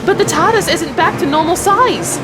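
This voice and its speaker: An actress playing a feisty, squeamish young space-travel companion in an audio drama, expressive and quick to exclaim. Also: female voice, very high-pitched, over music, crowd noise. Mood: alarmed. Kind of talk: full-cast audio drama acting.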